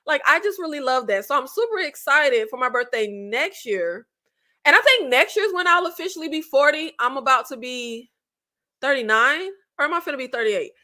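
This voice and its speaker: A woman in her late thirties chatting casually with her viewers as a channel host, animated and slangy, often laughing. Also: high-pitched, normal speed. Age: 30s.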